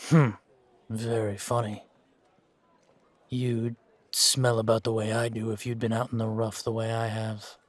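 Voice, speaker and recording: Man playing a slow, deadpan, world-weary monster hunter. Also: on the low side, no room sound, lightly acted. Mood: dry, weary. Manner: deadpan, unhurried, laconic. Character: gruff, world-weary male monster hunter, wry and aloof. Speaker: voice actor in fan-made audio roleplay. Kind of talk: slow in-character audio roleplay.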